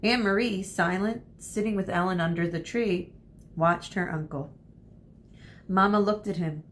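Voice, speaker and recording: A woman with a somewhat low voice reads a children's story aloud like a teacher. Her delivery is expressive and deliberate, with short explanatory asides. There is light noise and rumble on the recording.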